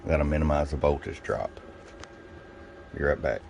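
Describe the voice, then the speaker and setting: A man giving a casual, step-by-step hands-on product review in a plain-spoken, folksy way, pausing between short phrases. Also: rumbling low, vocal fry, a little mellow, slight background noise. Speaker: radio seller demonstrating a product.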